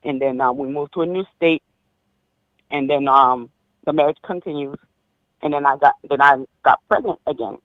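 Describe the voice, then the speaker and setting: A woman's voice: deep voice, no echo. Haitian-born woman telling her story by phone. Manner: halting, many ums and restarts. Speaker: caller. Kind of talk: personal story on a call-in show. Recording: dull dry phone line.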